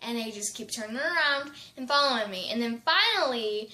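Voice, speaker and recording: A woman's voice: fairly high pitch, expressive, a little fast, bright, forceful tone, slight background noise. A woman telling a story in casual chat, rambling and saying "like" a lot. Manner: rambling, leans heavily on "like". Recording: roomy echo.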